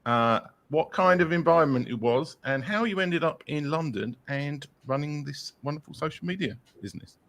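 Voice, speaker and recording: A man's voice: a little high, animated, moderate pace, creaky, few pauses, fairly bright tone. A man hosting an interview show, asking questions in a wry, teasing way. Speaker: podcast host. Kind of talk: casual interview chat.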